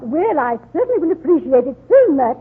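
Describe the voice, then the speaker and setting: Gushing, high-pitched society matron. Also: female voice, big pitch swings, brisk, background noise. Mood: gushing, haughty. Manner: affected, fluttery, with tittering laughter. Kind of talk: 1930s radio comedy acting. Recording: tinny, band-limited 1930s radio recording.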